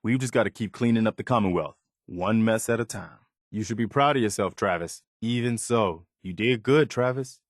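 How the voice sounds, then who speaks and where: A man acting as a dutiful militia officer in a video game. His voice is on the low side and recorded dry and close. He speaks plainly and earnestly, like a loyal idealist who believes in the cause. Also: no echo, natural voice. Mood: approving. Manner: earnest, measured, plain-spoken. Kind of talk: video game character dialogue.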